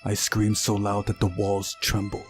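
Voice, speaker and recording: A man narrates a visual novel playthrough, reading the story aloud. His voice is fairly low, and music plays faintly behind him. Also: completely flat delivery, a little slow, husky.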